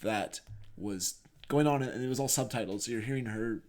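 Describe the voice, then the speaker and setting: A man's voice: mid-range voice, moderate pace, a little mellow. A man co-hosting a podcast, speaking in a casual, conversational storytelling style with a reflective, earnest manner. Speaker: podcast co-host.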